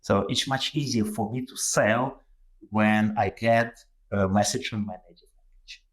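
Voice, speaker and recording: A man's voice: on the low side, fairly even intonation, unhurried. A man with a Ukrainian accent, a native Ukrainian speaker, giving a webinar presentation over a video call, with many fillers and restarts. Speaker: guest presenter.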